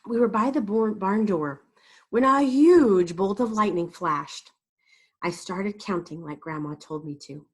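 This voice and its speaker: Expressive woman reading aloud, slightly husky. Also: medium pitch, a little slow. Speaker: storyteller reading a picture book aloud to children. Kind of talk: expressive read-aloud narration.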